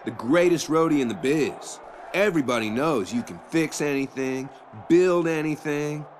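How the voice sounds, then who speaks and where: A man plays a slick young American band member in a video game, fairly high in pitch. He is whiny, hedging and condescending, with clear noise around him. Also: animated, moderate pace, natural voice. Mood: placating, patronizing. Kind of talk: animated video game acting.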